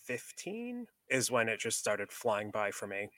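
Wry man, bright voice, very dry sound. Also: on the low side, very animated, measured pace, no echo. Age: 30s. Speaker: podcast co-host. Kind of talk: casual chat.

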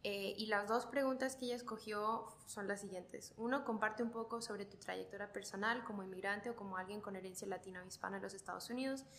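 A woman's voice: medium pitch, steady tone, fairly quick, few pauses, fairly bright tone, slight background noise. A woman hosting a podcast, moving between scripted introduction and casual interview talk. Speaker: podcast host.

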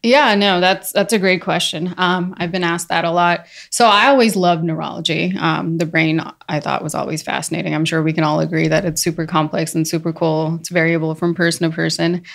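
Woman, 30s, neurologist guest; low gravelly voice, warm chatty explainer. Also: steady tone, a little fast, hardly any pauses. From India. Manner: friendly, explanatory, laughs easily. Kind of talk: casual podcast conversation.

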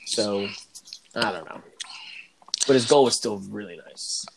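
A man's voice: lowish voice, a little slow, creaky, crowd noise, poor audio. An American man hosting a sports podcast, chatting casually with friends. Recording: noticeable background noise, clicks.

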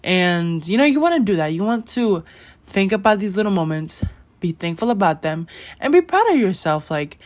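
A woman's voice: medium pitch, big pitch swings, normal speed, a little mellow. A teenage girl hosting her own podcast in a casual, rambling chat, full of "like" and "you know" and frequent giggles. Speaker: podcast host.

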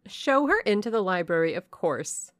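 A proud, imperious young lady of rank, loud and commanding, as a woman narrator puts her on, with a gravelly undertone in a dry, close reading. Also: on the high side, sing-song, a little slow, no echo, pitched above the performer's own voice, full character voice. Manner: commanding, scornful. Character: haughty, imperious young noblewoman.

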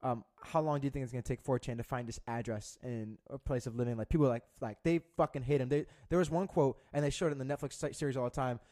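A man's voice: mid-range voice, darkish tone. A young man in his twenties co-hosts a casual podcast. He tells a long story loosely, stumbling over restarts and swearing freely. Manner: profane, rambling storyteller with many restarts. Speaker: podcast co-host. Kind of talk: casual chat.

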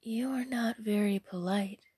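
Stern but serene fairy, read by a female storyteller: measured, breathy, mellow. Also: medium pitch, no room sound. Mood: calmly stern. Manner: unruffled, measured. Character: calm, stern female fairy disguised as a princess.

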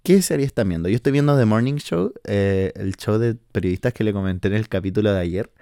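A man's voice: fairly low pitch, a little fast, creaky, mellow, no room sound, studio quality. A man in his twenties with a Chilean accent, chatting casually and slangily as a podcast host from his house in the countryside. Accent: Chilean Spanish. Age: 20s. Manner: chatty, slangy, swearing, filler-heavy. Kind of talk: casual monologue.

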